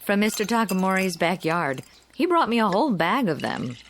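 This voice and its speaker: An actress playing a chatty, hospitable small-town housewife with a genteel, effusive manner in a radio drama. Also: female voice, medium pitch, sing-song, moderate pace, a little hiss or hum, lightly acted. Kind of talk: naturalistic radio drama dialogue.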